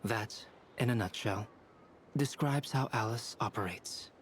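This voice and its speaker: An actor playing a calm, detached young scholar in a video game, articulate and unhurried. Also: male voice, lowish voice, heavy background noise, close to an everyday voice. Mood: wry.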